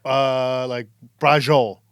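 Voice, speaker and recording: A man's voice: medium pitch, level tone, takes it slowly, clear, resonant tone, no room sound, studio quality. A man co-hosting a casual podcast, telling stories emphatically and swearing freely. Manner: storytelling, profane, emphatic. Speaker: podcast co-host.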